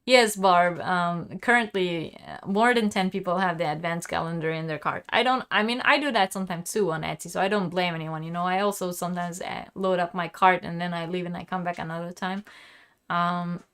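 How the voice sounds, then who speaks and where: A woman's voice: fairly low pitch, fairly quick, a bit bright, smooth. A woman with a sore, fading voice hosting a live crochet lesson, chatty and answering viewers' questions. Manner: chatty, restarts often, answers viewers directly. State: sick, sore throat, losing her voice.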